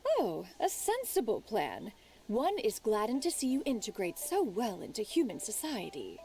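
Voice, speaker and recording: A woman's voice: medium pitch, wildly swooping pitch, normal speed, warm tone, recorded from a distance. A woman playing a proud immortal master in human form in a video game, speaking pompously and formally. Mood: haughty, pleased. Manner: pompous, formal, refers to self as one. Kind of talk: video game character dialogue.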